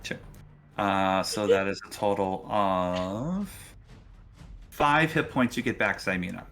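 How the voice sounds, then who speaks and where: A man's voice: sits low, slow pace, fairly bright tone, faint music behind. A man narrating a tabletop role-playing game as game master.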